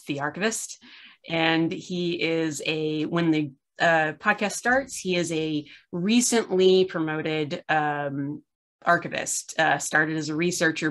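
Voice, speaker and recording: A woman's voice: low-pitched, fairly bright tone. A middle-aged woman hosting a casual, unscripted chat over a video call, rambling with self-deprecating humour. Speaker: host. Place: room at home, video call.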